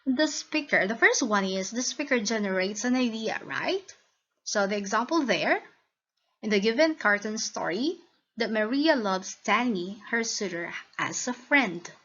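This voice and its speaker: A woman with a Filipino accent narrating a scripted lesson as a teacher, in a deliberate, instructional manner. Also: on the high side, animated, bright, forceful tone. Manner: deliberate, instructional, checks with listeners. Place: recorded voice-over for slide lesson. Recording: dull, lo-fi voice recording.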